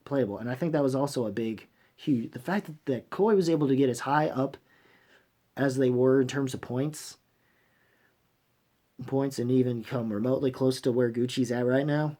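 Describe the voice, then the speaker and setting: Guy doing opinionated fan review rant, rough husky voice, stumbles and restarts, swears freely. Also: medium pitch, mellow. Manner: halting, repeats and rewords phrases, emphatic. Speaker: host of a fan review channel. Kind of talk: unscripted opinionated fan commentary.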